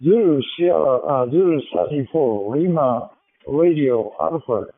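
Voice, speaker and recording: Man, Japanese accent, courteous radio operator, phone-like radio audio, higher pitch. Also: expressive, measured pace, no room sound. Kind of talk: ham radio contact, formal courteous exchange. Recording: tinny narrowband digital radio transmission.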